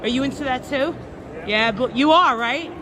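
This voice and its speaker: A woman's voice: sits high, moderate pace, background noise. A woman confronting strangers in a public place, accusatory and taunting, repeating her jabs. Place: out in public at a shopping mall.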